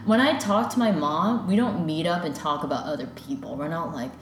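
A woman's voice: low voice, brisk. A young American woman in her twenties, talking casually and chattily in an interview, full of "like" and "you know". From United States. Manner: chatty, filler-heavy, animated when quoting parents. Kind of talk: casual conversation. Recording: echoey room with background noise.

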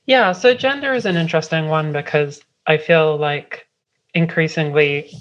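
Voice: medium pitch, moderate pace, dull audio. A guest from London, a writer and editor, explaining ideas in a loose, meandering way full of "um" and "like" and light laughs. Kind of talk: casual remote interview explanation.

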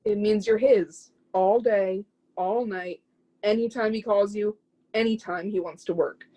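A woman's voice: medium pitch, unhurried, warm tone, natural voice, poor audio. A woman playing a proud, zealous would-be artist's muse in a play reading, speaking with lofty, scornful emphasis. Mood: fervent.

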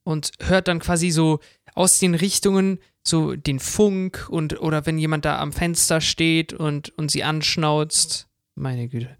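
A man's voice: a little high, steady tone, measured pace, few pauses, no echo, studio quality. A man co-hosting a podcast in casual, colloquial conversation. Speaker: podcast co-host. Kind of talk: casual chat.

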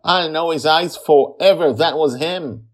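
A man voicing a defiant six-year-old girl in a story, loud, strained and insistent. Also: fairly high pitch, expressive, slow pace, pitched above the performer's own voice.

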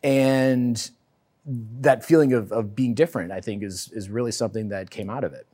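A middle-aged man in a casual panel discussion, wry and animated, joking at his own expense. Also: fairly low pitch, moderate pace. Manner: wry and animated, self-deprecating. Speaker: panel guest.

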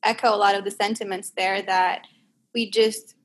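Young woman guest from Puerto Rico, earnest and halting, faint background noise. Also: mid-range voice, flat delivery. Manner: earnest, hesitant with "um" pauses. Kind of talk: casual conversational discussion.

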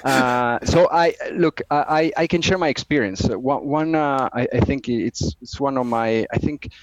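A middle-aged man with an Italian accent, a finance executive giving a podcast interview, talking haltingly with many fillers and restarts. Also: medium pitch, no room sound, low-quality recording. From Italy.

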